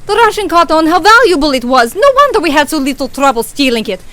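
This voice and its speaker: Woman doing a shrill, excitable crook Cairo. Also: quick pace, heavily acted. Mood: furious. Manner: excitable, shrieking insults. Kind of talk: community radio play acting.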